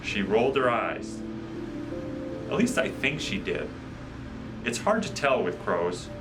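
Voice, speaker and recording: A man narrating a children's audiobook in a measured, deliberate way, recorded at a slight distance from the mic. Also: medium pitch, very animated, over music. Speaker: guest storybook reader.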